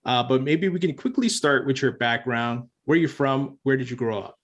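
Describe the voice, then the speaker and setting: A man's voice: mid-range voice, moderate pace, lo-fi sound. A man hosting a crypto interview channel, reading a sponsor spot and asking his guest questions in an earnest, eager way. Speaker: interview host.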